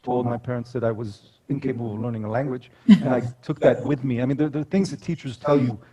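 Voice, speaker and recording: Man, 40s, from the US, storyteller guest, reflective, medium-pitched. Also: fairly even intonation, fast talker, dull audio, a crowd in the background. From United States. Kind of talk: casual interview chat.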